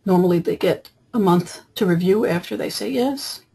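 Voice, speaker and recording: Woman, very husky breathy voice, software trainer, step-by-step tutorial narration, explanatory, semi-improvised. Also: lowish voice, normal speed. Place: voice-over for screen recording.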